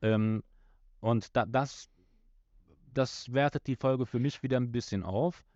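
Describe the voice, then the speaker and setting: A man talking casually as a podcast host, halting and circling back to rephrase his points. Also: medium pitch, darkish tone, no echo, lo-fi sound. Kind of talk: casual discussion of an audio drama episode.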